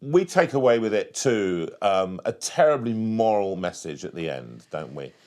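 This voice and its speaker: A man interviewing in a conversational style, earnest and halting, repeating words for emphasis. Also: lowish voice, very animated, a little mellow. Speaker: interviewer, host.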